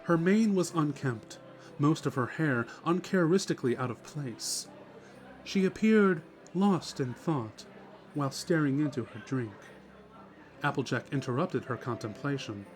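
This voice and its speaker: A man's voice: a little high, animated, normal speed. A man reading scripted story narration as the narrator of a dramatic reading. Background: music.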